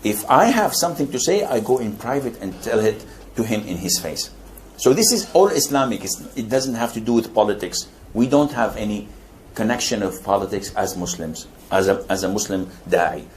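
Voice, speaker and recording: Older saudi man, preacher, low-pitched, wry and emphatic, faint background hiss. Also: lively intonation, moderate pace. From Saudi Arabia. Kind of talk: lecture Q&A answer.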